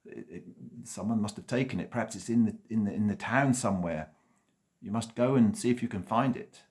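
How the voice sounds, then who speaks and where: A man voicing a frail old master who speaks slowly and haltingly. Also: lowish voice, darkish tone, voice pushed up, lightly acted. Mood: pleading. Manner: slow, hesitant, stammering.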